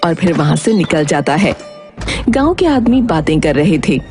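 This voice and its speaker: A woman narrates a children's tale from a script. Her voice sits a little low yet moves expressively, with clearly audible music underneath. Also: big pitch swings, moderate pace, a little mellow. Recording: prominent music behind the voice.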